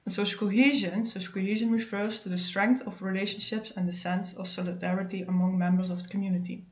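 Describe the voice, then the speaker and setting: A woman's voice: medium pitch, fairly even intonation, fast. A young woman hosting a student podcast, reading formally from a script. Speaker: podcast host. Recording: roomy, faint background noise.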